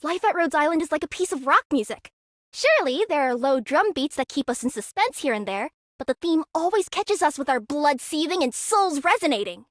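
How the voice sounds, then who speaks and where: A woman's voice: high-pitched, a little fast, dry recording, studio quality. A woman voicing a brash, passionate young rock guitarist in a video game, with dramatic delivery. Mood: fired up. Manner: animated, dramatic. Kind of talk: video game character voice lines.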